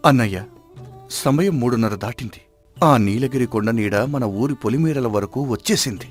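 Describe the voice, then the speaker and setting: A man voicing a dutiful younger brother from a village in an animated moral story, earnest and respectful. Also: medium pitch, normal speed, faint music behind, lightly acted.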